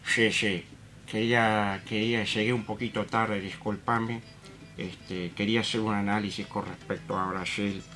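A man's voice: on the low side, little pitch movement, measured pace, bright, forceful tone, soft background music. A man with an Argentine accent giving comic football commentary as a guest analyst, dramatic and repetitive. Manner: dramatic rhetorical questions, repetitive.

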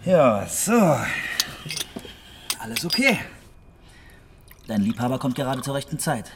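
An actor playing a chummy, boisterous kiosk keeper and fixer who likes a drink, in a German radio drama. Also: male voice, medium pitch, very animated, takes it slowly, background noise. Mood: cheerful, businesslike. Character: jovial hard-drinking kiosk keeper, fixer old schoolmate.

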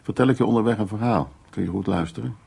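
Husky, gravelly suave man. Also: fairly low pitch, quick pace, a little mellow, full character voice. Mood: teasing, persuasive. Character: worldly, insistent, lonely man courting a younger woman. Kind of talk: naturalistic Dutch radio drama acting.

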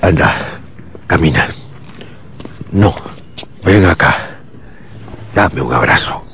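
A man's voice: low voice, expressive, takes it slowly, a little hiss or hum, heavily acted. An actor playing a manipulative, falsely fatherly man in a radio drama, coaxing and secretive as he instructs. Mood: coaxing, instructing. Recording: loud music bed under the voice.

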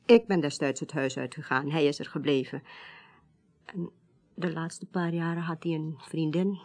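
A woman playing an estranged widow in a radio drama, speaking politely and haltingly, reserved but insistent. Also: sits low, steady tone, a little mellow. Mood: hesitant. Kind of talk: naturalistic Dutch radio drama acting.

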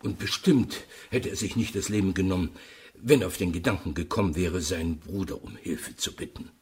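An actor playing a formal, diplomatic older uncle in a German radio play, with a rough, husky voice. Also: male voice, sits low, a little fast, darkish tone.

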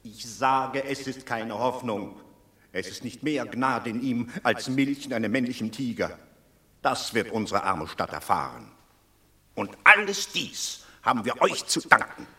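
Gloomy roman elder, grave and reproachful, lively pitch, radio play. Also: male voice, measured pace. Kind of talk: classical verse drama, radio play.

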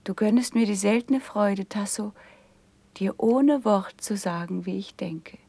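An actress playing a gentle, noble princess in a classical radio verse drama, speaking softly and breathily with tender restraint. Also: female voice, mid-range voice, unhurried, no room sound. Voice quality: soft, breathy, often near whisper.

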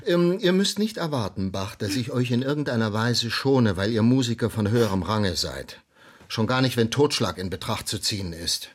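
A man's voice: mid-range voice, lively intonation, moderate pace, lightly acted. An actor playing a blunt, unsentimental male investigator in a baroque-era German radio drama, brusque, probing and officious. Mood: brusque, commanding.

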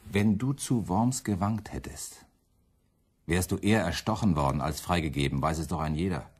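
Deep, husky firebrand preacher. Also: male voice, normal speed. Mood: scornful, accusing. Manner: accusatory, biting rhetoric. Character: fiery radical preacher, man, championing hungry peasants, defiant, scornful. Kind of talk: German radio play acting.

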